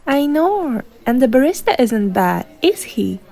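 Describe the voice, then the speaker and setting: A woman plays a young female mentor in a fan-dubbed comic. Her voice is high and mellow, breezy and persuasive, with a bossy, scheming streak under the friendliness. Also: very animated, measured pace, vocal fry, heavily acted. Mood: breezy teasing. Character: sly young woman coach posing as caring friend. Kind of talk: fan-dub comic voice acting.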